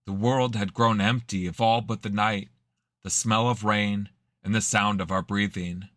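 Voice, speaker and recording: Guy doing a young man in an audio drama, halting and subdued, lowish voice, dry close mic. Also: fairly even intonation, a bit bright, dry recording. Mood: wistful. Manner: hesitant, subdued storytelling. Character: young man haltingly recounting a painful childhood memory.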